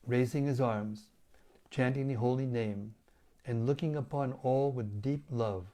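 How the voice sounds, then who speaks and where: A man with a hoarse, failing voice reading scripture and reflecting on it as a spiritual teacher, in measured devotional tones. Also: medium pitch, warm tone. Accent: British English. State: losing his voice, hoarse.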